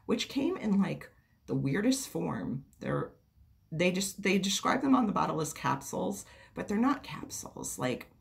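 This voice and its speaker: A woman vlogger chatting casually to camera, her voice raspy and hoarse from a cold, rambling and swearing freely. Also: fairly low pitch, very animated, unhurried.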